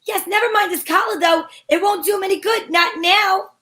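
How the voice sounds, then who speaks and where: A woman's voice: very high-pitched, a little fast, sharp tone. A woman playing a breezy, sensible, take-charge female sleuth in an old-time radio mystery, with a wry manner. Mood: urgent, grave. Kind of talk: old-time radio mystery acting with first-person narration.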